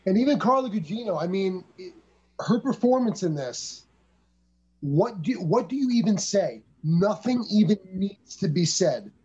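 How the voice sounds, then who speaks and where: A man co-hosting a casual movie review, telling behind-the-scenes stories in an animated way. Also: high-pitched, moderate pace, slightly rough, dull audio. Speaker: podcast co-host.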